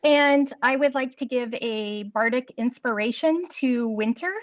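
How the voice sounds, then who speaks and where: A woman's voice: a little high, unhurried, dry recording. A woman playing an online tabletop game, precise and asking about the rules. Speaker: player. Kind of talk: casual tabletop play. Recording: dry, dull online call audio.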